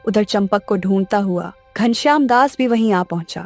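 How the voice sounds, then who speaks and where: A woman's voice: mid-range voice, normal speed, darkish tone, soft background music. A woman narrating a scripted children's moral story.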